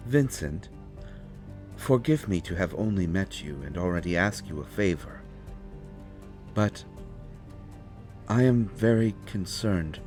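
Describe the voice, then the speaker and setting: Man voicing a very deep, slow ancient vampire. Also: darkish tone. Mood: courteous. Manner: formal, measured, deliberate phrasing. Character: ancient, courteous, knowing male vampire speaking softly and gravely. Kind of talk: scripted immersive audio roleplay. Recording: roomy echo, faint music behind. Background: faint music.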